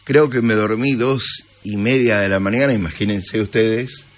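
Argentine man, morning radio host, low voice, a bit sleepy, unhurried casual chat. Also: fairly even intonation.

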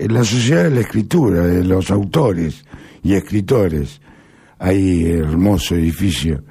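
A middle-aged Argentine man speaking in a rambling, halting monologue with sudden emphatic rises. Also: fairly low pitch, vocal fry, dark tone, husky. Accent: Argentine Spanish. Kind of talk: casual spoken monologue.

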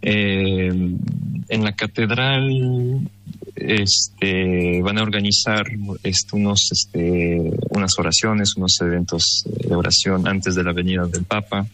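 A man's voice: low-pitched, steady tone, takes it slowly, sharp tone, no echo. A Mexican man interviewed by phone, answering thoughtfully with many fillers. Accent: Mexican Spanish. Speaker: interview guest.

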